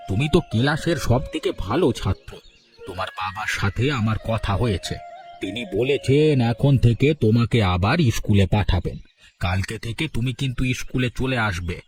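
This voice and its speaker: A man's voice: on the low side, close to an everyday voice. A man voicing a proud village headman in a cartoon, authoritative and coaxing. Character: village headman and teacher, proud, two-faced. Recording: soft music under the voice.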